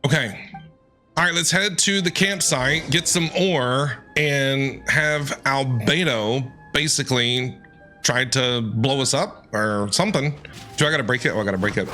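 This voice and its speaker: A man's voice: medium pitch, measured pace, pushed and bright. A man streaming a game playthrough, reacting aloud in a casual, jokey way. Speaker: gaming streamer. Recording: game music behind the voice.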